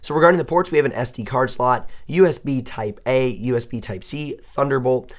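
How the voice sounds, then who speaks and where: A young man with a hoarse voice he says he has partly lost, chatting upbeat and thinking aloud as he unboxes and reviews a laptop. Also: medium pitch, brisk. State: hoarse, losing his voice. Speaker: tech reviewer. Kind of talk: casual unboxing talk.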